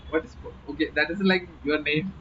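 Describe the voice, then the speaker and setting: A man's voice: fairly high pitch, steady tone, fairly quick, bright, forceful tone. Young Malayali man, chatty streamer, dull audio. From Kerala, India. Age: young adult. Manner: chatty, laughing, reading chat aloud. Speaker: live-stream host. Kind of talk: casual live-stream chat. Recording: slightly dull audio with faint noise.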